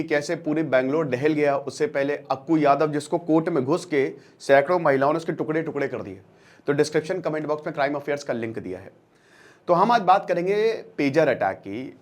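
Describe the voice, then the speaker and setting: A man presenting an informal explainer lecture as an online educator, telling it like a colloquial storyteller with emphatic repetition and rhetorical questions. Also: on the high side. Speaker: online educator and channel host.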